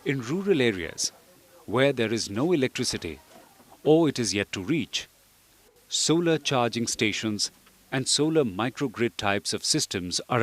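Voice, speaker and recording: A man reading scripted instructional narration for a training film in a measured, didactic way. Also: medium pitch. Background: background music.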